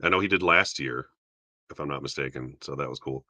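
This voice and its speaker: A young man with a deep voice casually rambling about awards results, self-deprecating and chuckling now and then. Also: fairly quick, pushed and bright, no echo. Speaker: YouTube film awards commentator. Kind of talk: casual solo commentary.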